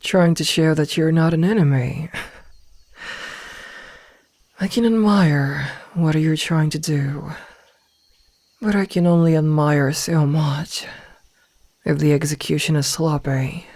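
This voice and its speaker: Woman acting slow, commanding female werewolf omega. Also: deep voice, darkish tone, dry recording. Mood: stern, measured. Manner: slow, deliberate, formal, with breathy whispered asides. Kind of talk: ASMR roleplay acting.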